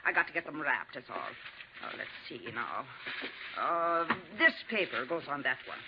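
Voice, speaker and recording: A woman's voice: low voice, lively intonation, normal speed. An actress playing a feisty, plainspoken New York landlady in a 1950s radio drama, with folksy diction and a blunt, salty manner. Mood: grumbling, homely. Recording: dull, lightly noisy 1950s radio recording.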